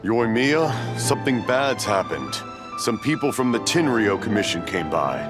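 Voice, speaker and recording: A man's voice: on the low side, very animated, unhurried. A weary, apologetic old father who sighs often and whose hearing is going. His voice rises and falls a lot, with game music playing under him. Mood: urgent. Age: older. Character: old man, her worried father.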